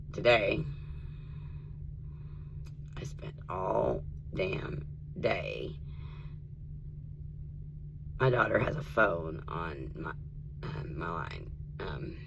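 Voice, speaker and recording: A man from Tennessee, his voice rough and in need of rest, talking casually to his viewers and often dropping to an earnest whisper. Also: low-pitched, expressive, drawn-out words, long pauses, background noise. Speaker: vlogger. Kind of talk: casual spiritual vlog and storytime.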